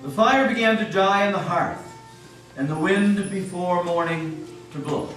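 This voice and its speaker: A man's voice: high voice, a little slow, a bit bright, faint music behind. A man narrating a scripted dramatic story reading, theatrical and solemn in delivery. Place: old meeting house, live performance. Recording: very echoey hall, distant mic, faint noise.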